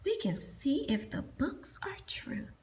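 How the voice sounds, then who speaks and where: A woman voicing an eager young male swamp monster who sometimes speaks in a hushed voice. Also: high voice, sing-song, slow pace, in a whisper, pitched above the performer's own voice, exaggerated voice.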